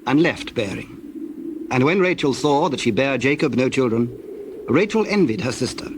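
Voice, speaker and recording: A man narrating scripture as a formal, measured storyteller in a scripted dramatization. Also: medium pitch, very animated. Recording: clear background noise, birdsong and doves.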